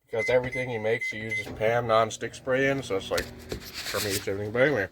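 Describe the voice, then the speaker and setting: A man's voice: on the low side, steady tone, fast, noisy background. A man with a nasal voice talking in a rambling, casual vlog monologue at home, restarting often and swearing casually. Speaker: vlogger. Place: home kitchen near a microwave.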